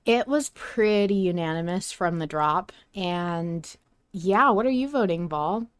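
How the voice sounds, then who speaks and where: A woman's voice: fairly low pitch, lively intonation, measured pace, clear, resonant tone, no echo. Chatty woman podcast host, close dry sound. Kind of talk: casual fan podcast discussion.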